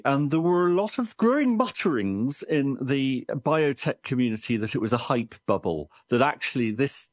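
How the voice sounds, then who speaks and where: A man's voice: mid-range voice, dry recording. A man reporter explaining a science story in a conversational, storytelling way. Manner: lively storytelling with restarts.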